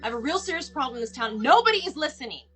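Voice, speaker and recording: A woman's voice: high voice, big pitch swings, racing, faint music behind. A woman playing a frustrated, worried mother who bluntly demands help from the police, in a film table read. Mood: angry, exasperated. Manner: blunt, exasperated, sharp-tongued.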